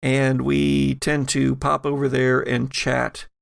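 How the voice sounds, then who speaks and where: Guy running a tabletop game podcast, slow measured delivery, bit of fry in the voice, really dry recording. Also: medium pitch, completely flat delivery, dry recording. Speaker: game master and podcast host. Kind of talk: casual chat and narrated game recap.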